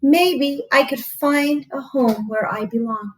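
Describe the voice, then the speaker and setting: A woman's voice: high voice, slow, low-quality recording. A woman voicing a young male gray duckling in a storybook, lonely and unsure of himself.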